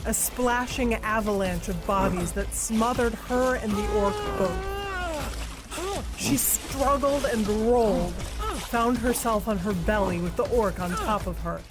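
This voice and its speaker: A woman narrating an audio drama in a slow, dramatic reading style. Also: medium pitch. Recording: narration over music score.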